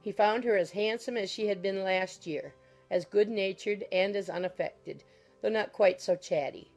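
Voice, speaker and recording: A woman's voice: on the low side, a little mellow, poor audio. A woman reading scripted literary narration as the narrator of an audiobook. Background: music.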